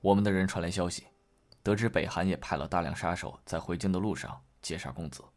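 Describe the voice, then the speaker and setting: A man's voice: low-pitched, quick pace. A man playing a dutiful subordinate guard, reporting formally and deferentially to his master in a Chinese period audio drama.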